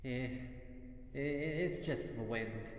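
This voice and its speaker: Man voicing a frightened young mare, pitched up. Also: slow, echoey room, big-room sound, slight background noise, close to an everyday voice. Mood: nervous, stammering. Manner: stammering, fearful. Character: frightened young female pony, brave but anxious, self-reassuring. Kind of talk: horror storybook reading.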